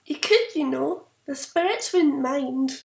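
A woman voicing a sweet, naive young forest girl, girlish and expressive, in a comic fan dub. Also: high-pitched, takes it slowly, gravelly, reverberant, heavily acted. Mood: gentle, wistful. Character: gentle young magical forest girl, naive, playful, fiery when hurt.

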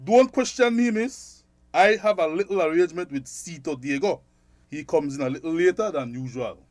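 Actor as scolding middle-aged Belizean Creole shopkeeper dad, high male voice, dry radio drama sound. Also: normal speed, no echo, natural voice.

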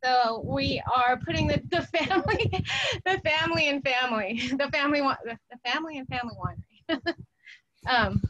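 A woman's voice: high-pitched, animated, moderate pace, bright, forceful tone. A woman in her thirties, a viticulturist, speaking outdoors in a vineyard over a video call, easygoing and laughing often. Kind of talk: casual chat. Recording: dull outdoor call with noise.

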